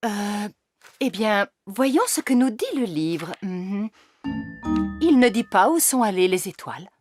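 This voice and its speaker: A woman's voice: mid-range voice, animated, slow, music in the background. A woman voicing a genteel, patient fairy queen mother who tells stories expressively in a French cartoon dub. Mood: patient, puzzled.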